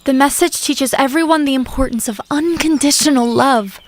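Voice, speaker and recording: A woman playing an earnest, loyal confidant who gives measured, formally worded counsel in an audio drama. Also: high-pitched, dry recording, natural voice.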